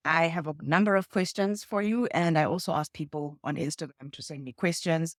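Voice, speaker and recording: A woman's voice: sits low, fairly quick, dry recording, clean studio sound. A woman with a South African accent, from South Africa, hosting a conversational interview for her video channel. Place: indoors in a home.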